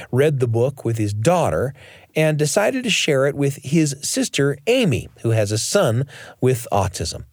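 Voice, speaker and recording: A man's voice: mid-range voice, darkish tone, dry recording, studio quality. A man hosting a radio show, reading scripted narration. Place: studio.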